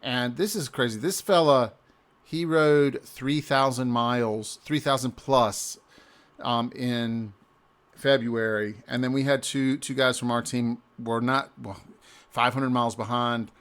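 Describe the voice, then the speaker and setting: A man, a native English speaker, casually walking through a screen-shared recap of a cycling event, often pausing and restarting mid-sentence. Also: medium pitch, steady tone, fairly bright tone. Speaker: amateur cyclist reviewing an event.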